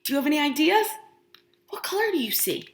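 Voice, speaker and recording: A woman teacher doing an expressive picture book read-aloud for young children, asking questions with encouraging, exaggerated delivery and pausing for answers. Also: high-pitched, roomy sound, background noise.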